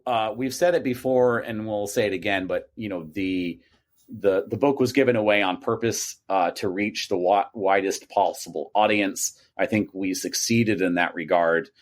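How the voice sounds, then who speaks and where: A man co-author on a podcast, thinking aloud and working through numbers with hedges and restarts. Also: low-pitched. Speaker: co-author guest. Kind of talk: casual podcast conversation.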